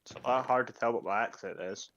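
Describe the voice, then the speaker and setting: A man's voice: medium pitch, a little fast, fairly bright tone, dull audio. A man with a British accent bantering with friends on a gaming stream, teasing and insistent, often laughing.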